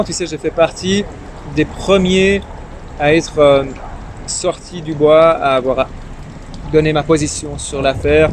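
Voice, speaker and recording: Young man, Iranian-born, Farsi first language, slightly high voice, hesitant, repeats words, loud traffic behind. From Iran. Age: young adult. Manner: timid. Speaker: interview guest, young politician. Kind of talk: casual interview conversation. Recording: noisy street and traffic ambience.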